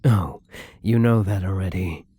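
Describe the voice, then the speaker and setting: A man playing a kind, newly turned vampire in an intimate ASMR roleplay, speaking softly and haltingly with polite, apologetic uncertainty, often slipping into a whisper. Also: sits low, slightly rough, no room sound. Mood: nervous, gentle.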